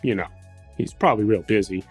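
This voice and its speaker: A woman's voice: a bass voice, a little fast, a little mellow, husky, soft background music. A woman improvising casually in character as a tabletop game player, talking in a hesitant, meandering way.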